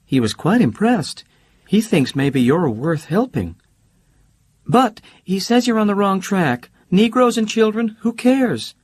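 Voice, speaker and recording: A man voicing a brash, theatrical young woman who talks in a teasing, sharp-tongued way, for an audiobook. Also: high-pitched, animated, measured pace, slightly rough, pitched above the performer's own voice, full character voice. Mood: scornful. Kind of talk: audiobook character voice.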